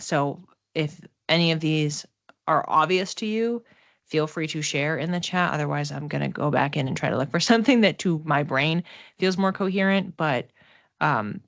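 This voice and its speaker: A woman with a low voice gives an intuitive reading as a psychic medium. She speaks off the cuff, feeling her way haltingly from one phrase to the next. The sound is a little dull and roomy. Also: gravelly, fairly bright tone. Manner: tentative, halting, searching for words. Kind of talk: intuitive reading, spoken off the cuff to a live chat.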